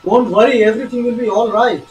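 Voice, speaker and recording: A high-voiced migrant father played by a man speaks steadily and reassuringly in an amateur stage play. The sound is echoey, with faint room noise behind him. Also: fairly even intonation, fast talker. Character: anxious male migrant father waiting with papers.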